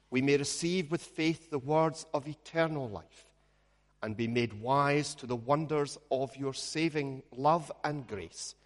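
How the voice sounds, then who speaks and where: A Scottish man, a minister, leads a prayer and then preaches, telling stories with a measured pace and gentle humor. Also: mid-range voice, long reverb tail. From Scotland. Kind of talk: sermon with opening prayer.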